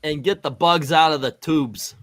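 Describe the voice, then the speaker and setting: A man's voice: on the high side, moderate pace, a bit bright, close to an everyday voice. A man playing an irritable, commanding American superhero captain who barks orders in an amateur comic book reading. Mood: curt, commanding. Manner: barking orders, blustering.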